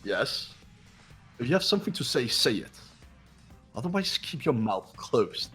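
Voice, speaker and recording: A man's voice: mid-range voice, big pitch swings, unhurried, fairly bright tone, slightly rough, faint music behind, exaggerated voice. A man playing an arrogant, cold young heir in a fan-voiced game, speaking in a formal, condescending way. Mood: haughty, cold. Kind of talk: amateur voiced video game playthrough.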